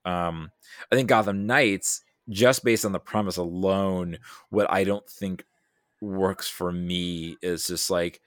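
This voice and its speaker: A man in a casual pop-culture discussion, talking haltingly and often rephrasing mid-thought. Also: deep voice, fairly even intonation, no echo, studio quality. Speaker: podcast guest.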